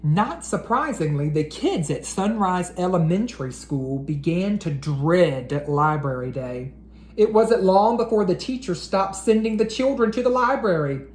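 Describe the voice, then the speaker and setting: A woman reading a children's picture book aloud in an expressive, unhurried storyteller's voice. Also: on the low side, roomy sound.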